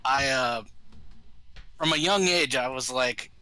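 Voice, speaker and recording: A man chats casually in a very sharp, bright voice at a middle pitch. The recording sounds close and dry. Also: takes it slowly, no room sound. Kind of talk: casual chat.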